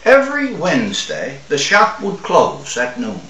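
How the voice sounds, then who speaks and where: An older man from Newfoundland reads a short story aloud in a measured, expressive way, with dramatic pauses. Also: fairly high pitch. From Newfoundland, Canada. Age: older, 60s or 70s. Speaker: author reading own short story. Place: small room at home or office, seated at a table. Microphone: camera a few feet away. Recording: roomy, distant microphone.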